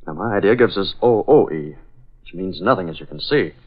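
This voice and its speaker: A muffled vintage radio recording carries a decisive American boss who secretly fights crime. His voice sits slightly low. He talks crisply and deliberately, with the melodrama of a 1940s serial. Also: expressive. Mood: puzzling, thoughtful. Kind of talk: 1940s radio drama acting. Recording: dull, band-limited old radio recording.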